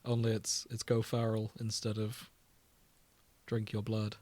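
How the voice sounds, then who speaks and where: A man's voice: lowish voice, completely flat delivery, a little slow, a little mellow, dry recording. A man co-hosting a podcast in casual chat, with a jokey manner. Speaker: podcast co-host.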